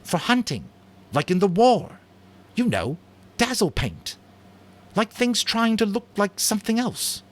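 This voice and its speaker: A man voicing an eager, bossy boy who leads a band of hunters, talking in an urgent, excitable way. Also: sits high, unhurried, pauses often, voice pushed up, full character voice. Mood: urgent, excited.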